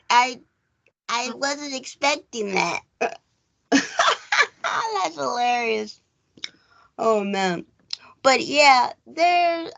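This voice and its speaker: A woman's voice: sits high, vocal fry, fairly bright tone, rough recording. An American woman hosting a casual movie chat, rambling and hedging as she goes, with frequent filler phrases. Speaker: podcast host.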